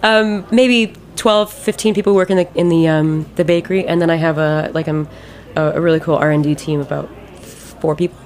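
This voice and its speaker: An American woman in her late thirties with a low voice talks very quickly about her own work. She is informal and emphatic, repeating words to drive a point home, as in a casual radio interview. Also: steady tone, darkish tone. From Ohio, United States. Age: late 30s. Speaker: ice cream maker and business owner, interview guest.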